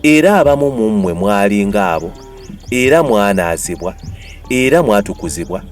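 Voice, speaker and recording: A man with a Ugandan accent reads scripture in a dramatized style. His low voice moves widely in pitch as he declaims with emphasis. Music plays along behind him. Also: a little mellow. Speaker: Bible reader.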